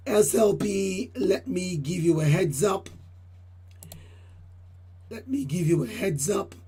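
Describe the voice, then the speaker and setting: Female channel host, very husky and mellow, voice deepened by a recent cold, formal and repetitive delivery. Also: animated, slow pace. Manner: formal, repeats phrases, addresses viewers as ladies and gentlemen. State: voice deeper than usual from a cold. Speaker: channel host giving crypto price updates. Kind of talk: solo market update talk.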